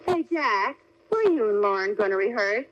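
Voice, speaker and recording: A woman's voice: medium pitch, big pitch swings, moderate pace, natural voice. A woman playing a breezy, teasing sidekick in a 1940s radio comedy. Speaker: comedy show cast member. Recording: old lo-fi radio comedy recording, faint noise.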